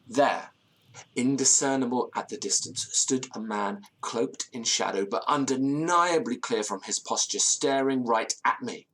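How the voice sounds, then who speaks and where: An actor playing an anxious, genteel British gentleman who recounts a ghost story in formal, ornate, theatrical phrases. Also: medium pitch, a little slow, a little hiss or hum, low-quality recording. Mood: fearful, suspenseful. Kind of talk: audio drama storytelling, period ghost tale.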